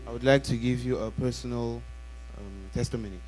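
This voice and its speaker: A man interpreting a sermon live in church in short bursts, halting and rephrasing as he goes. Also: mid-range voice, fairly even intonation, a little mellow, rough recording. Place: church, before a congregation. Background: faint music.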